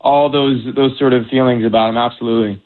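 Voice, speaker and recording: A man from the United States, an actor guesting on an interview, talking in a relaxed way and restarting often. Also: medium pitch, quick pace. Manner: relaxed, stumbling, many restarts. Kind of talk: casual interview. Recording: tinny phone line.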